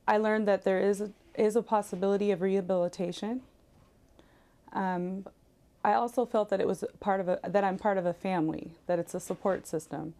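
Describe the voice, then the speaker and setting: A woman's voice: medium pitch, fairly even intonation, brisk, dark tone. A woman, a college student, giving an earnest personal testimonial in an interview.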